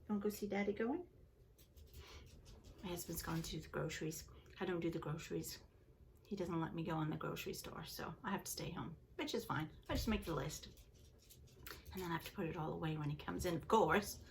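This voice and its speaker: An older woman from England with a softened Liverpool accent, hosting a knitting podcast from home and chatting casually with the viewer. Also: fairly low pitch, gravelly, slight background noise. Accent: Canadian-influenced English, originally from Liverpool. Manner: chatty, rambling, self-deprecating. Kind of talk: casual chat to camera. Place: home, seated indoors.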